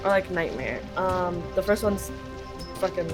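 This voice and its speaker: A woman's voice: fairly low pitch, animated, measured pace, music in the background. A young woman from Canada, talking casually and swearing freely as she translates game text aloud for a friend over a call. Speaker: live translator for a friend's playthrough.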